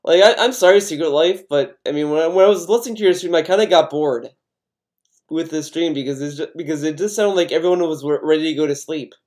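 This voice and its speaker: Sex: male. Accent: American. Pitch: slightly high. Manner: profane rambling rant. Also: quick pace, a little mellow. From Connecticut, USA. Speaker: YouTuber. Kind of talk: casual ranting vlog commentary.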